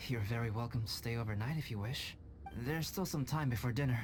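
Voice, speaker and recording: A man voicing a calm, scholarly young alchemist in a video game, measured, formal and polite. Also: medium pitch, a little hiss or hum, natural voice. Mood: gentle. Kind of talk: video game cutscene voice acting. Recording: game music behind voice.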